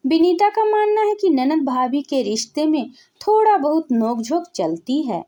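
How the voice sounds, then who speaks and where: High-pitched, slow woman storyteller. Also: very animated, warm tone. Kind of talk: scripted narration of a family story.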